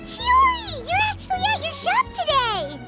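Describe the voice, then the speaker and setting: A woman voicing a small, excitable, childlike female game companion with a squeaky voice and bubbly, inquisitive manner. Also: moderate pace, heavily acted. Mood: surprised. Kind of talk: video game voice acting. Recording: loud background music.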